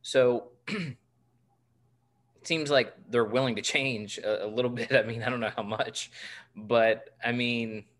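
A man's voice: medium pitch, steady tone, unhurried, fairly bright tone. A man in his twenties co-hosting a sports podcast, joking and rambling with lots of fillers. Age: 20s. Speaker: podcast co-host. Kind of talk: casual sports podcast chat.

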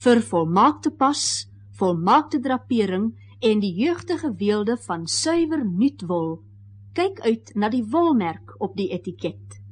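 A woman with a husky voice reading a scripted 1960s radio commercial. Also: mid-range voice, sing-song, measured pace, noisy background. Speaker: advert announcer.

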